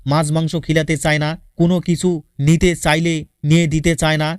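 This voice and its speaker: Cartoon son, played by a man: insistent, complaining, highish even-toned voice, clean and smooth. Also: fairly quick, no room sound, studio quality, lightly acted.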